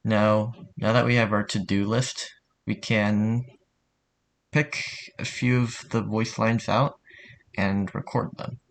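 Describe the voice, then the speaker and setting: Low-pitched man, casual tutorial narrator. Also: fairly even intonation, slow pace. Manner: informal, offhand, mildly sweary.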